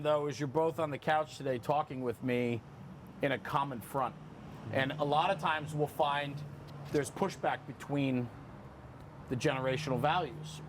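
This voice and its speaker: A man with a mid-range voice hosts a round-table podcast interview. He talks conversationally, with earnest emphasis on his points. There is noticeable noise behind him. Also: medium pitch. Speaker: podcast host. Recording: clear background room noise.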